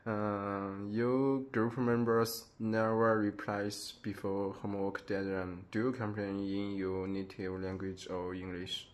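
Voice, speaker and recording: A man interviewing a friend, asking questions hesitantly with pauses. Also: lowish voice, flat delivery. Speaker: student interviewer.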